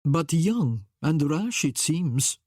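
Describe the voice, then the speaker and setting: A protective Italian gentleman from a historical audiobook, voiced by a woman narrator. He speaks with an Italian accent in a low, husky voice, grave, measured and cautious. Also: very animated, dry recording, clean studio sound, exaggerated voice. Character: tall Italian man, protective, cautious courtier friend.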